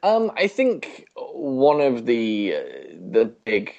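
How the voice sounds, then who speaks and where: A man with an average-pitched voice co-hosts a casual fan podcast. He talks in a halting, thoughtful way, often doubling back on his words as he works out what to say. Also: sing-song, darkish tone, lo-fi sound. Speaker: podcast co-host. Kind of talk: casual podcast chat about a TV show.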